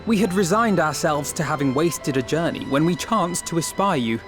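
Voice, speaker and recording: An actor voicing an eloquent young scholar in a video game, polished and earnest. His voice is high for a man and very lively, with music underneath. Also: moderate pace, natural voice.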